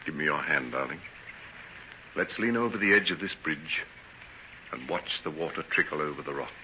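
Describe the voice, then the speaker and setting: A tortured noble doctor in an old radio play, deep voice, earnest and formal, muffled hissy recording. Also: male voice, normal speed, sharp tone, heavily acted. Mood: tender, sorrowful. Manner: earnest, formal, theatrical phrasing. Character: tormented, guilt-ridden gentleman doctor, tender and self-sacrificing. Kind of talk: old-time radio drama acting.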